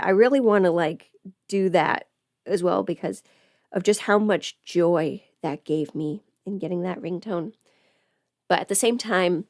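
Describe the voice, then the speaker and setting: A woman's voice: on the low side, vocal fry, a little mellow, no echo, clean studio sound. A young adult woman chatting casually to livestream viewers in a rambling, informal way, often interrupting herself. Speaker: livestreamer and audio performer. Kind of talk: casual livestream chat while putting on makeup.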